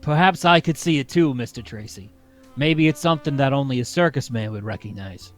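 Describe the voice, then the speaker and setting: A man plays a circus boss in a radio serial, worried and deferential. He speaks deliberately in a mid-range voice. Soft music sits behind him. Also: mid-range voice, expressive, natural voice. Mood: puzzled. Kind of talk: live old-time radio play table read.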